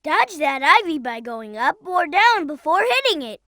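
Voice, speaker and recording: A performer voicing a young boy fox sidekick in a video game, childlike, earnest and excitable, eager to explain things. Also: high-pitched, moderate pace, dry recording, clean studio sound, full character voice. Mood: earnest, observant. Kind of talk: video game character voice acting.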